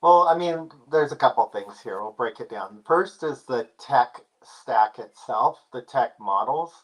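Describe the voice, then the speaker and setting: Relaxed man explaining as a guest expert. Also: medium pitch, unhurried, low-quality recording. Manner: relaxed, explanatory, many "I mean" and "you know" fillers. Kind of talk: casual conversational interview.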